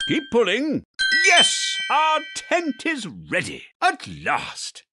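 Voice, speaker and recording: A woman narrates a children's game slowly and patiently, her voice leaping all over the scale as she praises and encourages. The game audio has loud, bouncy music underneath her. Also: high-pitched, wildly swooping pitch. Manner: encouraging, praising, sing-song. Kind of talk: scripted narration for young children.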